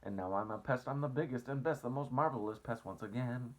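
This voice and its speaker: A man voicing a boastful, excitable centipede in a storybook read-aloud, loud and crowing. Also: mid-range voice, brisk, pitched above the performer's own voice, close to an everyday voice. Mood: jubilant, singing. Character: excitable boastful male centipede, singing and shouting gleefully.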